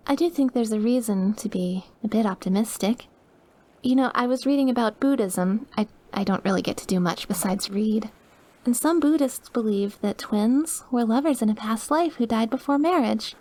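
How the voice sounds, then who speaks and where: A woman playing a sweet, awkward, wistful young woman who is dying and quietly obsessive, in a soft, hesitant, intimate ASMR roleplay. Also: on the high side, moderate pace, close to an everyday voice. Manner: soft, hesitant, gushing, at times dropping to breathy murmurs. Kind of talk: ASMR audio roleplay, intimate one-sided dialogue.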